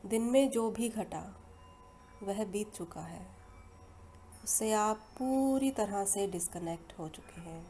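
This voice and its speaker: An Indian woman leading a guided hypnosis meditation in slow, soothing, unhurried phrases with long pauses between them. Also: medium pitch. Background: soft meditation music.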